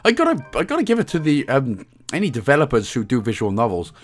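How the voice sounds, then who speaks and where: A man's voice: medium pitch, huge pitch swings, fast, faint music behind. A man with a Welsh accent giving casual, chatty, slangy walkthrough commentary on a video game as the guide's host. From Wales.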